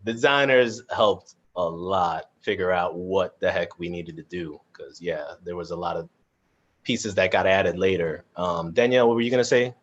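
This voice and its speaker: A man's voice: sits low, moderate pace, dull audio. A man chatting casually in a panel discussion.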